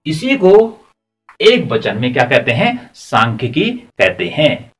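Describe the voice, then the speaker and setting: A man in his forties gives a blackboard lecture into a lapel mic, his voice rising and falling in a lively, animated way. He explains patiently, repeats key points, and keeps asking the class rhetorical questions. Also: mid-range voice, takes it slowly, soft background music. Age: 40s. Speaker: teacher. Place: classroom at a chalkboard.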